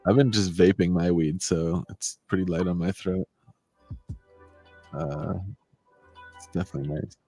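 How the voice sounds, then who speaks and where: A man's voice: deep voice, fairly even intonation, a little slow, long pauses, mellow, soft background music. A laid-back man co-hosting a stream, mostly chiming in with short easygoing replies. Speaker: streamer co-host. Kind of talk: casual stream chat.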